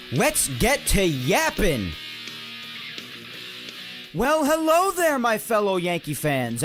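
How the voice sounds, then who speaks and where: A young man hosting a solo sports podcast, energetic and rambling, his voice slightly hoarse. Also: on the high side. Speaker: podcast host.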